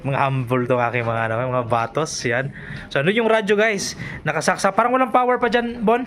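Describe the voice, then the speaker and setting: A man's voice: fairly high pitch, brisk, a bit bright, a little hiss or hum. A man with a Filipino accent chatting casually as a vlog host, informally addressing viewers and instructing helpers.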